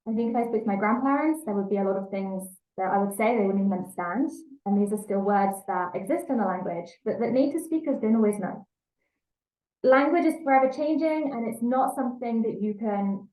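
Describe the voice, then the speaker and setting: A woman's voice: mid-range voice, a little fast, warm tone, roomy sound, rough recording. A woman with an English accent giving a language learning talk, explaining earnestly and repeating key points for emphasis. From England. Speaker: language teacher.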